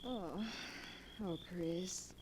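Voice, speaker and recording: A vain young woman, sighing and breathy with longing. She speaks slowly in a low, mellow voice. It's 1940s radio drama acting. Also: a little hiss or hum, poor audio. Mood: lovestruck. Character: vain young woman, many times divorced, yearning.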